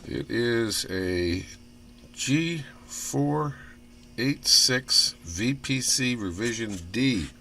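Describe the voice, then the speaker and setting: A man giving casual, off-the-cuff hands-on commentary in a halting way, as if muttering to himself while he inspects things. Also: medium pitch, lively intonation, sharp tone. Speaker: hobbyist vlogger showing old computer hardware. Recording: clear background noise with room ambience.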